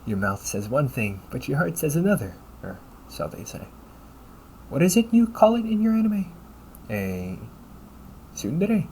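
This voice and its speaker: A man playing a polite, caring, gently teasing AI companion in an intimate audio roleplay, speaking formally to the listener. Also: a little high, sing-song, measured pace, long pauses. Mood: playful teasing. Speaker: voice actor. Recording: noticeable background noise.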